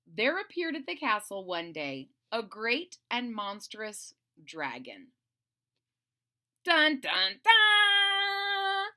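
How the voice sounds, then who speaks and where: A woman reading a children's story aloud in a theatrical, playful way, pausing to explain things simply to young listeners. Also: high voice, bright, forceful tone. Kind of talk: expressive children's read-aloud with simple asides.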